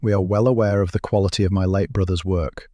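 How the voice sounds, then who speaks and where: A man performs a stiff society gentleman from a Victorian audio drama. The voice sits low and is recorded dry. He is formal, measured and reserved. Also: steady tone, dry recording. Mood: guarded.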